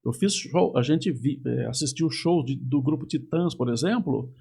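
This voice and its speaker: A middle-aged man speaks as a guest, his voice slightly high and gravelly with a steady fry. He talks in a measured way, often restarting his sentences as he recalls details from the past. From Campinas, Brazil. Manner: reminiscing, measured, with frequent restarts. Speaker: guest, TV news anchor. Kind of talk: casual interview chat.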